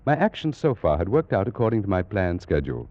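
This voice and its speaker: Low, dramatic scholarly Egyptologist. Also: male voice, sing-song, fast talker, a little mellow. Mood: measured, intrigued. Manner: formal, precise, dramatic storytelling. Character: scholarly male British Egyptologist recounting an eerie adventure. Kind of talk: 1940s radio drama narration. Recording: muffled, noisy vintage radio recording.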